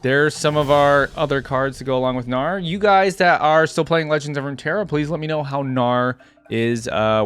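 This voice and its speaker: A man's voice: medium pitch, clear, resonant tone, no room sound. A man hosting a reaction video, giving casual commentary and musing aloud.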